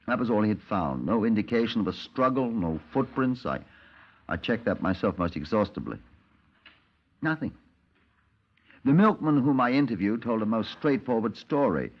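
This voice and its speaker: A methodical British police detective in an old radio drama. His voice is low, dry and formal, measured in delivery. The recording is dull 1950s radio. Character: male police sergeant detective narrating his case, methodical. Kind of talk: 1950s radio crime drama, narration and dialogue.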